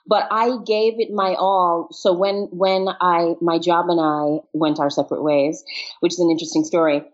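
A woman's voice: on the low side, fairly even intonation, moderate pace. A middle-aged woman from Jamaica and the wider Caribbean, telling her story casually and expressively as an interview guest. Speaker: interview guest, marketing consultant. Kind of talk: animated casual personal storytelling. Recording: dull, band-limited lo-fi sound.

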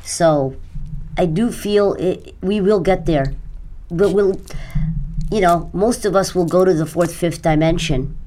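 A woman's voice: on the low side, unhurried, dark tone. A middle-aged woman from India, a native Gujarati speaker, answering earnestly as an interview guest in a conversational way. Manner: earnest spiritual talk. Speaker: podcast guest.

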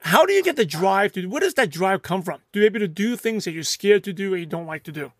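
A man's voice: sits high, sing-song, fast talker, no echo, clean studio sound. A man hosting a podcast, asking the guest probing follow-up questions. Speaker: podcast host.

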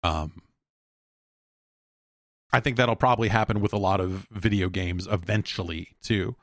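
A man's voice: low voice, unhurried, no echo, studio quality. A man hosting a podcast solo, chatting casually in a rambling, opinionated, profane way. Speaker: podcast host answering listener mail.

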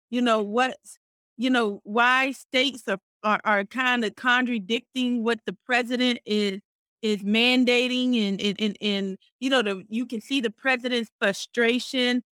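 A middle-aged woman co-hosting a casual podcast, expressive and animated, speaking warmly about faith and community. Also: a little high, dry recording. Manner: warm, expressive, talks about faith and blessings; frequent fillers and restarts, animated storytelling. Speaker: podcast co-host.

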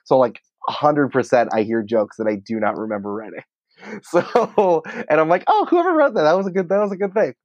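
A man talking casually as an interview guest, in an excitable, rambling, informal way peppered with filler words. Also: a little high, brisk, dry recording, lo-fi sound. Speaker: podcast writer and producer, interview guest.

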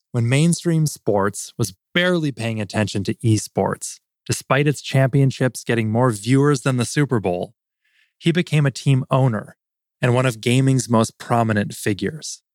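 A man's voice: mid-range voice, no echo, clean studio sound. Earnest male podcast host. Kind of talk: scripted intro narration and interviewing.

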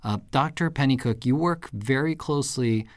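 A man's voice: mid-range voice, normal speed, dry recording, clean studio sound. A man born in Japan hosting a podcast, reading a scripted introduction and then asking questions with a few hesitations. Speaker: podcast host. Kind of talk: podcast interview.

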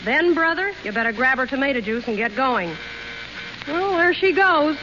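A deadpan wisecracking maid. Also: female voice, sits high, normal speed. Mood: wry. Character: wisecracking, sardonic household maid. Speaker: Molly. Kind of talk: 1930s radio play acting. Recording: dull old radio broadcast with hiss.

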